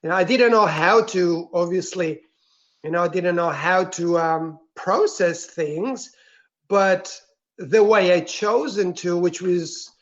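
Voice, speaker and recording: A man from Switzerland, a guest on an interview, telling his personal story in an earnest, reflective and soft-spoken way. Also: sits high, slow. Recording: dull, lo-fi sound.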